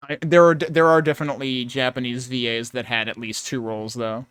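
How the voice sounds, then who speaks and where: Clear-voiced man chatting over a game. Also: mid-range voice, fairly even intonation, a little fast, fairly bright tone. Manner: rambling, thinking aloud. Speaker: game streamer. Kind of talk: casual playthrough commentary.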